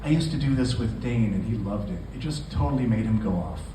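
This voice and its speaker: An eager young woman lover read by a man coaxes her partner in a live story reading. The sound is distant and echoey, with clear noise around it. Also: fairly low pitch, lively intonation, fairly quick, lightly acted. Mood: coaxing. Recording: echoey, noisy venue, distant microphone.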